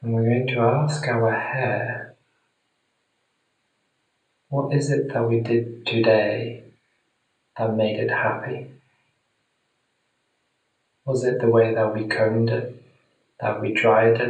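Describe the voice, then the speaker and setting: Slow-speaking male meditation guide in echoey room. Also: mid-range voice, level tone. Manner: soothing, deliberate, with long pauses. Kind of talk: guided meditation, spoken gently to the listener. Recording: very echoey room, distant mic.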